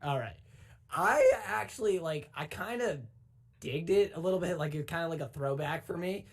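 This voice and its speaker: A man's voice: a little high, expressive, normal speed. A man in his twenties chatting casually as a panelist about comic book films. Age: 20s.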